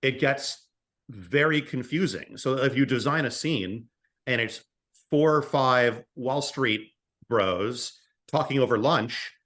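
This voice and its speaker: Guy in his late 30s, medium pitch, chatting like a podcast guest. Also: a bit bright. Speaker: podcast guest, audio drama writer. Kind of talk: casual interview talk.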